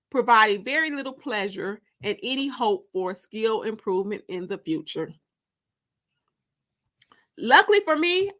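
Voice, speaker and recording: A woman narrating a first-person story aloud in an expressive, confiding, emphatic way. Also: high voice.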